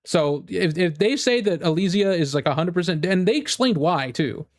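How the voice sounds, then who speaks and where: Rambling, opinionated male streamer, higher-pitched voice. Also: lively intonation, moderate pace. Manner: rambling, with frequent "like" and repeated phrases; opinionated, emphatic. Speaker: game streamer. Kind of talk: casual livestream chat and fan rant.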